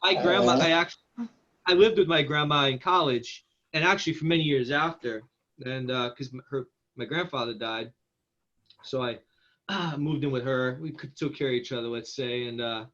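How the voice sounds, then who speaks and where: A man's voice: medium pitch, normal speed, fairly bright tone, dull audio. A man with an encouraging, rambling manner, giving casual feedback as the writer at a table read.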